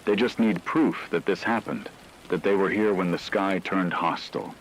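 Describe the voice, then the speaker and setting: A man playing a grave radio news anchor, delivering formal, deliberate scripted disaster reports over rain-like noise. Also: deep voice, natural voice, low-quality recording. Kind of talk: scripted dramatic news narration.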